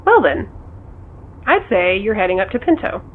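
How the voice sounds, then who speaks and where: An actress playing a teasing, dry-humored big sister in a naturalistic audio drama, heard through a phone filter. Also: female voice, medium pitch, very animated, normal speed, a little hiss or hum. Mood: teasing, wry. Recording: thin, band-limited phone line.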